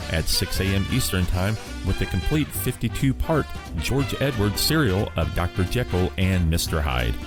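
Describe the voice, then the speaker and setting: An American man presents a radio show in a deep voice. His delivery is scripted, in an announcer style, with music playing clearly behind him. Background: music bed.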